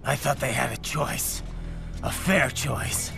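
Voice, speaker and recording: An actor playing a weary young male cyborg swordsman with a gravelly voice, talking in a quiet, terse, low-key way in a video game cutscene. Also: slow. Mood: guarded. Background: game soundtrack music.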